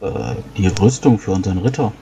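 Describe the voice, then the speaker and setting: A man's voice: on the low side, a little fast, vocal fry, dark tone, slight background noise. A man voicing a polite, evasive page with a hesitant, apologetic manner, in a tabletop game. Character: polite, evasive male page, avoiding trouble.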